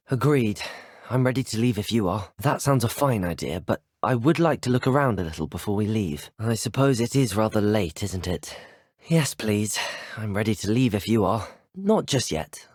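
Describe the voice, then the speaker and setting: A young man with a British accent voicing a polite, inquisitive student wizard in a video game, measured and well-spoken. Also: lowish voice, darkish tone, slightly rough, dry recording, studio quality. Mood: composed, inquisitive. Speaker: video game player character. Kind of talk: scripted game dialogue.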